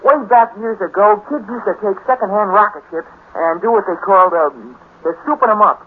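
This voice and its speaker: A man's voice: sits high, brisk. An actor playing a cocky, scheming teenage boy with slangy, confident know-it-all delivery in a 1950s radio drama. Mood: cocky, eager. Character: cocky, scheming teenage boy, space-age hot-rodder. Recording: scratchy, tinny 1950s radio recording with hiss.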